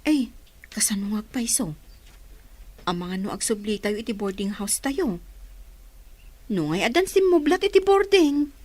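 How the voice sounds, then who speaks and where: A woman playing a fretful, confiding household companion in a radio drama, with an expressive delivery. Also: on the high side, unhurried, pauses often. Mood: worried, confiding.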